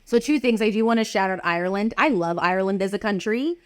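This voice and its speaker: A woman hosts a casual interview over a video call, her voice at an ordinary middle pitch. She talks in an upbeat, emphatic way and puts questions directly to her guest. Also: fast, dry recording. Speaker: podcast host.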